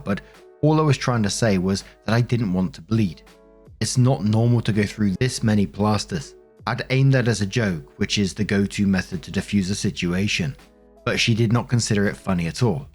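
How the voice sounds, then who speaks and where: A man with a fairly low voice narrates online stories for listeners. He reads posts and comments aloud in a casual host style. Music plays faintly behind him. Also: moderate pace, breathy edge.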